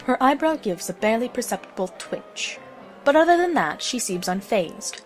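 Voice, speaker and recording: A woman's voice: a little high, sing-song, moderate pace, soft background music. A woman narrating a scripted story as the narrator of an audio drama.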